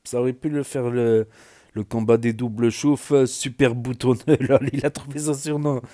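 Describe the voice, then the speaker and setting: A man's voice: mid-range voice, steady tone, moderate pace, dark tone. A man with a southwestern French accent giving rambling, casual reaction commentary as a video host, full of fillers and restarts. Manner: rambling, filler-heavy, many "voilà" and "euh". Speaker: YouTube news commentator.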